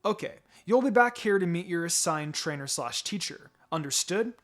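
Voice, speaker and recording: A man's voice: on the high side, animated, normal speed, a bit bright. A man voicing a businesslike, orderly male academy teacher in a fan fiction reading. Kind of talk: fan fiction character dialogue. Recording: music behind the voice.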